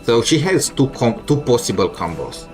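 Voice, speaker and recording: A man's voice: mid-range voice, very animated, normal speed. A man thinking aloud in casual, mildly grumbling commentary as he plays a video game. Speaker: gamer streaming a playthrough. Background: game music.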